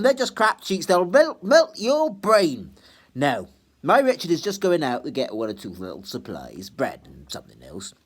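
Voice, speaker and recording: A woman's voice: deep voice, pitched above the performer's own voice, heavily acted. A man voicing a cheerful young working-class daughter who fondly scolds her father in a storytelling. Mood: merry.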